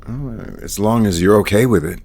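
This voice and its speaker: A man playing a gentle, considerate homecomer in an audio soap opera, soft-spoken and reserved. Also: on the low side, fairly quick, natural voice. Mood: tender. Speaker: actor.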